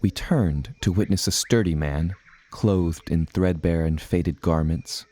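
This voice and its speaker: A man's voice: deep voice, measured pace, dark tone, dry recording. An actor playing an earnest seafaring adventurer who tells his tale in formal, old-fashioned language. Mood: earnest storytelling. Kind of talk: scripted storybook narration.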